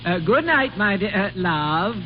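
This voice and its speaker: In a dull old radio recording, an amiable, bumbling gentleman. His fairly high voice wanders up and down a great deal as he hesitates, in vintage radio drama style. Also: very animated, a little slow. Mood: sheepish. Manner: hesitant, bumbling, humming and hawing. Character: amiable, dithering, hard-up gentleman. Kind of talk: 1930s radio drama acting. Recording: muffled, faintly hissy old radio recording.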